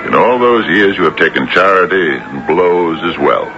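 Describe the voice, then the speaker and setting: An actor playing a stern, commanding judge, solemn and authoritative, in a 1970s radio drama. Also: male voice, low voice, sing-song, moderate pace. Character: stern, commanding male judge passing sentence. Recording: muffled old radio recording with music.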